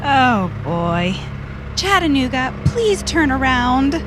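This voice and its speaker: A woman's voice: high-pitched, takes it slowly, over music, exaggerated voice. A woman playing a sensible, anxious, exasperated adventurer's sidekick in a comedic children's audio drama. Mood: worried, exasperated. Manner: exasperated, excitable.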